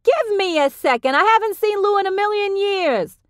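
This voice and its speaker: A woman's voice: on the high side, sing-song, measured pace, no room sound, clean studio sound, pitched above the performer's own voice. A woman voicing an affectionate, touchy-feely middle-aged aunt who gushes and dotes on everyone. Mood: gushing. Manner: gushing, doting.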